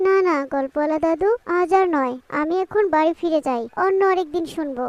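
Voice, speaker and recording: A woman's voice: high-pitched, fairly quick, no room sound, muffled recording, lightly acted. A woman voicing a polite little girl in a cartoon. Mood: polite, hesitant. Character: polite little girl listening to grandpa's tales. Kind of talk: cartoon voice acting.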